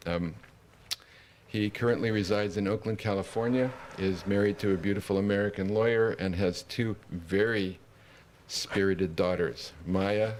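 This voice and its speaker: A man's voice: fairly low pitch, fairly even intonation, normal speed, darkish tone, slight background noise. A man giving a formal introduction of a guest speaker, reading from prepared notes with small hesitations. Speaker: event host introducing the speaker.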